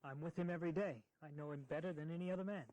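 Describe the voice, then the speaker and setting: A man's voice: on the high side, steady tone, rapid-fire, mellow, no echo, lightly acted, rough recording. An actor playing an earnest young male army colonel in a historical audio drama, emphatic and rhetorical in his delivery. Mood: wry, challenging.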